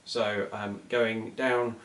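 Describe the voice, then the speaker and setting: A man co-hosting a casual book chat, giving recommendations in a hesitant, measured and thoughtful way. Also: fairly low pitch, level tone, fairly bright tone. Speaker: book reviewer co-hosting short fiction recommendations. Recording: echoey room, distant from mic.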